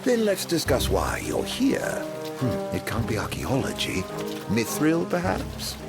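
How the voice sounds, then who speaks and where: An actor playing a smug, scheming imperial schemer with theatrical mock courtesy in a video game. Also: male voice, mid-range voice, huge pitch swings, measured pace, vocal fry, heavily acted. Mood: teasing. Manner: theatrical, teasing, mock-polite. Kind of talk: dramatic video game cutscene acting. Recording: game soundtrack music behind.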